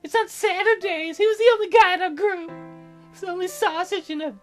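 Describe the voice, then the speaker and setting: A woman's voice: extremely high pitch, brisk, faint music behind, pitched above the performer's own voice. A woman voicing a sweet, shocked young woman jogger in a visual novel. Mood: soft, wistful.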